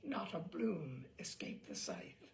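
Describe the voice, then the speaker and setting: A woman reading a story aloud in a dramatic, theatrical way, with deliberate pauses and emphatic phrasing. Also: low-pitched, wildly swooping pitch, a little mellow, a little hiss or hum. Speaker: reader of a story.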